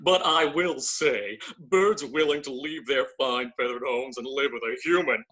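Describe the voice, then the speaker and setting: A man's voice: medium pitch, unhurried, sharp tone, breathy edge. A man playing a stuffy bird politician, formal and officious, in a comedy table read of an audio drama. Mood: pompous, officious. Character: haughty male bird council elder, stuffy politician.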